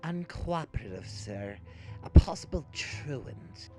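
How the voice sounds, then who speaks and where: A man's voice: on the high side, animated, takes it slowly, warm tone, faint music behind. A man playing a curt, suspicious soldier in an audio drama, officious in manner. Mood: curt reporting.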